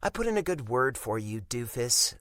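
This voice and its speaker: A man voicing a teasing, sharp-tongued young adult sister in an audiobook. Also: mid-range voice, very animated, moderate pace, dry recording. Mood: needling.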